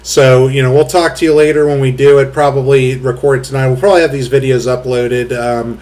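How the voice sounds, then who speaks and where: Man, host, casual commentary, booming voice, rambling, blunt, crude, mid pitch. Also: flat delivery, brisk, darkish tone.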